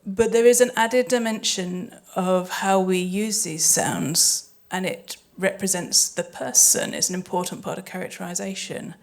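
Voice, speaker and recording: A woman's voice: fairly low pitch, a little slow, hardly any pauses. A woman speaking on a panel, explaining in detail and thoughtfully, with some hesitant phrasing. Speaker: panelist, audio drama sound designer. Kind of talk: panel talk. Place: live event panel before an audience.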